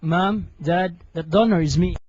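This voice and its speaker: A man's voice: sits high, unhurried, darkish tone, no echo. A young man playing a lovesick, self-sacrificing hero in a student radio drama, earnest and melodramatic. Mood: earnest, defiant. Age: young adult. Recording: muffled amateur recording, music behind.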